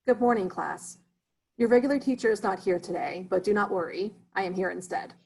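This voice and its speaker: A man reading a formal, breathy immigrant teacher. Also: high voice, darkish tone, exaggerated voice, low-quality recording. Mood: earnest. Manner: formal, drops articles, precise and measured. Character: erudite immigrant male substitute teacher, formal. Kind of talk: amateur play reading.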